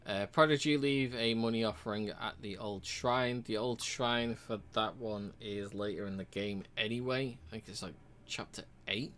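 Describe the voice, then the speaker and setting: A man casually walking through a game's achievements, relaxed and rambling, with frequent ums and uhs. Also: fairly low pitch, moderate pace, few pauses, a little hiss or hum. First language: English. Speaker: game reviewer.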